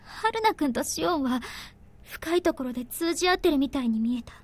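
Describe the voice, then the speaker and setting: High-voiced, earnest young anime woman. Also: animated, very fast. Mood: hurt. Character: earnest young woman, tender, quick to hurt and anger. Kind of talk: Japanese visual novel voice acting.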